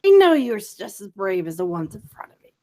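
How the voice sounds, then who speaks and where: A woman's voice: medium pitch, sing-song, quick pace, darkish tone, natural voice. A woman playing an elderly male headmaster wizard, commanding yet genial, with formal, courteous phrasing in an amateur audio drama. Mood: genial, ceremonial.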